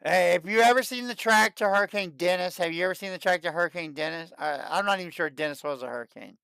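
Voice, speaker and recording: Excitable man in his thirties, smooth voice. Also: high voice, fast, dry recording. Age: 30s. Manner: excitable, repeats himself. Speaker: livestream host. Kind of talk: casual chat.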